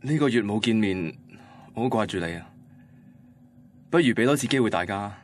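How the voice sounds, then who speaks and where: A man's voice: lowish voice, expressive, normal speed, no room sound, natural voice. An actor playing a heartbroken young man recounting his first love in a radio drama, wistful and self-deprecating, with a Hong Kong Cantonese accent. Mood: wistful, sad. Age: young adult. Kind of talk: radio drama narration and dialogue.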